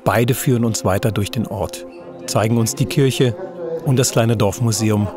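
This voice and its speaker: A man narrates a travel documentary in a fairly low voice. His delivery is scripted, and soft music plays underneath. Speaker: travel vlog host and narrator.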